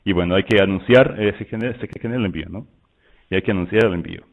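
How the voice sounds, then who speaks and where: A man from Mexico with a Mexican Spanish accent, walking through software in a loose, conversational webinar style full of fillers and restarts. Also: deep voice, fast. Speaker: software presenter. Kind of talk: webinar product walkthrough. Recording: tinny phone-like line.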